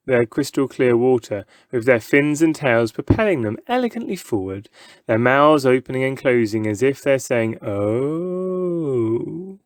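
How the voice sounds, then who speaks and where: A man's voice: medium pitch, no room sound. Man reading a children's story, dry sound. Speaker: story reader. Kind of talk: scripted children's book narration, present tense.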